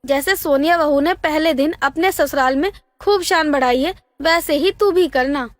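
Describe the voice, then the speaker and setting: A proud, bossy middle-aged mother-in-law acted by a woman from a family storybook tale. She speaks very high, and the recording is close and dry. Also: normal speed, dry recording, natural voice. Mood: instructing.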